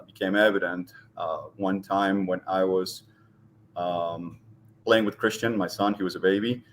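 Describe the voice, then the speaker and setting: A middle-aged man from Argentina with an Argentine Spanish accent, speaking as an interview guest and pausing often with ums and restarts. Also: low-pitched, slight background noise. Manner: hesitant, many ums and restarts. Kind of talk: casual interview talk.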